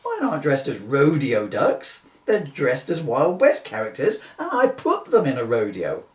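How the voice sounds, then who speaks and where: In a roomy, distant recording, a man acts out a dim comic showman. He states absurdities as plain fact, literal and pedantic, with a lively rise and fall. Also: mid-range voice, moderate pace. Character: dim, literal-minded, unbothered duck-owning rodeo showman. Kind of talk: comic two-hander read from a book. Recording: distant, echoey room recording.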